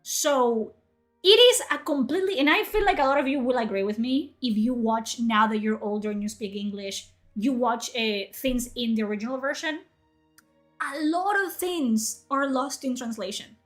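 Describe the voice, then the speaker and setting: A woman's voice: on the high side, normal speed, faint music behind. A young woman from Spain, a native Spanish speaker, chatting in a rambling way on her livestream and answering chat. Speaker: livestream host.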